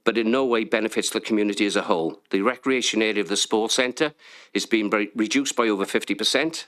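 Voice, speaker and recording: Brief: man, lowish voice, firm and pointed public objection. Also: quick pace, fairly bright tone. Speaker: public speaker at council committee. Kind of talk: reading a prepared objection.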